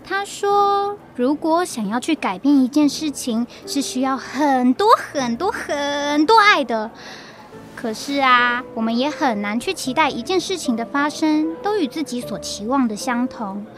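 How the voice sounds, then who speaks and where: A woman voicing a cheerful, affectionate young wandering photographer in a Mandarin audio drama, expressive and teasing, with a Taiwanese accent. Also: high-pitched. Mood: gentle, reflective. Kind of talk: Mandarin radio drama acting. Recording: music bed under the voice.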